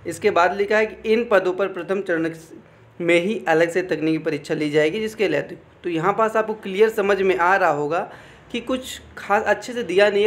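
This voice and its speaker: A man giving an informal explainer, reading out official text and commenting, often repeating phrases and adding check-in tags. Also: sits high. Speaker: exam information presenter.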